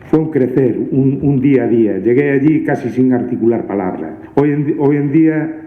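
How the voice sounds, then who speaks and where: A man giving a reflective, somewhat halting personal testimonial. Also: medium pitch. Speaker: community radio participant. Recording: phone-like, echoey playback.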